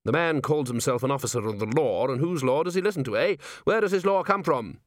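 A man's voice: mid-range voice, animated, fast, dry recording, studio quality, voice pushed up, lightly acted. A man doing a pompous, wheedling upper-crust schemer. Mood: exasperated. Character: scheming upper-crust gentlemen, smooth, condescending, impatient.

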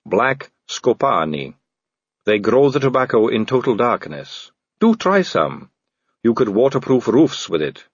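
Man putting on a drawling vampire noblewoman, calm, sardonic, husky, lilting. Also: medium pitch, a little slow, no echo, muffled recording, voice pushed up, exaggerated voice. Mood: languid. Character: female vampire aristocrat, cool and sardonic.

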